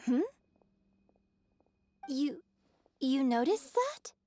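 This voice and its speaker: A woman's voice: high voice, sing-song, drawn-out words, warm tone, slight background noise, exaggerated voice. A woman playing a gracious, refined young opera star in a video game, speaking politely and formally. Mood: curious, formal.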